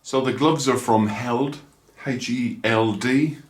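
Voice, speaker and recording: A man's voice: medium pitch, slow, slight background noise. A middle-aged Irish man in his fifties, chatting casually as he reviews motorcycle gloves at home, rambling with dry asides. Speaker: motorcycle vlogger. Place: small room at home, indoors. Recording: echoey small room.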